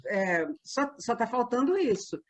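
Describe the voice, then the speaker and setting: Older Brazilian woman, higher-pitched, soft Rio accent. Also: very animated, a little slow. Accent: softened Rio de Janeiro Brazilian Portuguese. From Rio de Janeiro, Brazil. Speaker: interview guest, radio drama performer and producer. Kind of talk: casual interview chat.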